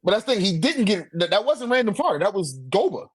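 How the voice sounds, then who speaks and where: Man, high-pitched, slangy, profane, casual debating, very dry sound. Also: sing-song, quick pace, husky, no room sound. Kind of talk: casual debate about manga character rankings.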